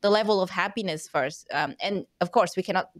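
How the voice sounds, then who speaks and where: A woman's voice: on the low side, brisk, no echo, clean studio sound. A young woman whose first language is Vietnamese, hosting a podcast interview and thinking aloud with many fillers and hesitations. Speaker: podcast host. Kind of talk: casual interview chat.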